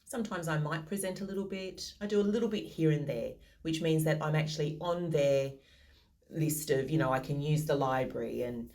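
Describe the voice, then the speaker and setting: A woman's voice: sits low, a little fast, a little mellow. A modest, thoughtful woman answering interview questions over a video call. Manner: modest, thoughtful, some restarts.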